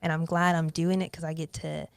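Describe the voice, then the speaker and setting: A woman in her twenties, a podcast guest, talking in a reflective, animated way with many fillers. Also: low-pitched, fairly quick, gravelly, clear, resonant tone, no room sound. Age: 20s. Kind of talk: casual interview chat.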